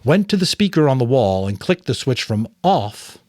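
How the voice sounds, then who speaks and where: A man narrating a novel aloud as a dramatic, expressive reading. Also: medium pitch, moderate pace, dry recording, clean studio sound. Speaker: audiobook narrator and voice actor. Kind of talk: dramatic story reading with asides.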